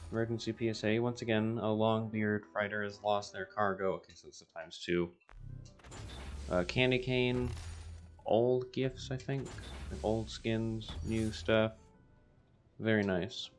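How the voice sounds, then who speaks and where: A man with a scratchy voice, rambling through casual gameplay commentary as the host. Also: fairly low pitch. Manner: rambling, self-interrupting. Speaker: gaming video host.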